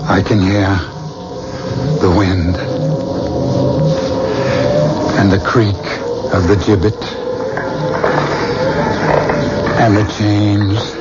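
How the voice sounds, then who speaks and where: Haunted intense man in old radio play, lowish voice, music behind, dull sound. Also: level tone, slow. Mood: trancelike, haunted. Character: troubled man convinced he is a reincarnated killer. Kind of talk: 1970s radio drama acting.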